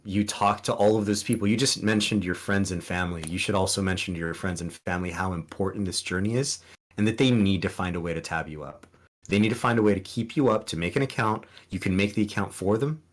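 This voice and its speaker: A man with a very low, deep voice whose first language is Spanish talks as a podcast guest. He speaks earnestly and loosely, restarting sentences and filling pauses with ums as he gives advice. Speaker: guest streamer. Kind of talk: casual podcast interview.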